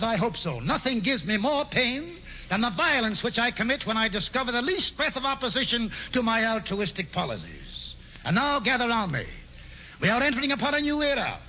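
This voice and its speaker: Grandiose colonial governor, bright lively high voice, muffled old radio broadcast with hiss. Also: male voice, fairly quick, full character voice. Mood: sly. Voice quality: grandiose, mock-genial, sly. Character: pompous scheming male colonial governor, middle-aged. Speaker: governor Stuyvesant. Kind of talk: 1940s radio acting.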